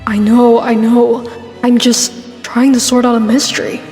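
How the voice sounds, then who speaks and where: Studious sleuth pony, female, cartoon dub, woman actor: earnest, slightly high yet soft-toned, casual, preoccupied. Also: little pitch movement, measured pace. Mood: puzzled, focused. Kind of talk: cartoon voice acting in a comic dub. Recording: roomy reverb, music underneath.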